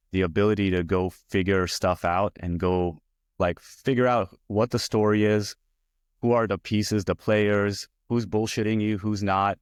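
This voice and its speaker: A man from Hungary in his forties, a guest talking through his career in a relaxed, rambling way with lots of fillers. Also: on the low side, clear, resonant tone, dry recording, clean studio sound. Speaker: guest, VP of user experience. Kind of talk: casual interview talk.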